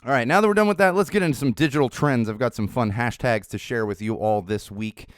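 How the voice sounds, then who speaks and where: A middle-aged man hosts his own podcast, his slightly raspy voice still recovering. He rambles casually with frequent uhs. The sound is smooth and dry, close on the mic at an ordinary pitch. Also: fast talker, no echo. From Southern California. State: recovering from lost voice. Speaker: podcast host. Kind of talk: solo podcast monologue.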